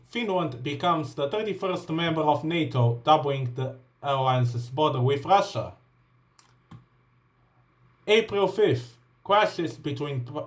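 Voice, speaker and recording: A man with a Bulgarian accent, English not his first language, reading a list of events from a laptop with frequent ums and uhs. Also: on the high side. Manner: hesitant, many ums and uhs, restarts while reading. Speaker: vlogger.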